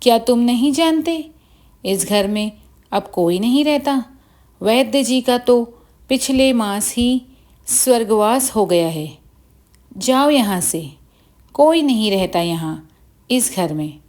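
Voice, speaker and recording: A woman's voice: a little high, expressive, takes it slowly, long pauses, a little mellow. A woman voicing a curt, dismissive village man in a children's story. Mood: brusque.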